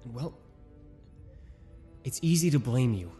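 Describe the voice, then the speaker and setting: A man's voice: medium pitch, animated, unhurried, dark tone, soft background music, lightly acted. A man voicing a grieving young soldier who is defensive and sarcastic. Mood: bitter.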